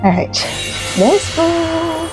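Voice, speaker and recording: A woman hosting a relaxed let's-play while knitting, chatting in a rambling way and laughing often. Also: very high voice, extremely slow. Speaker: gaming and crafting channel host. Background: faint game music.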